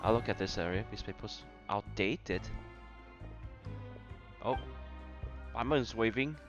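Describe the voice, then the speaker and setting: A man giving casual playthrough commentary as he plays a game, in halting asides. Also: on the low side, muffled recording, music in the background. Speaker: gamer playing and reacting.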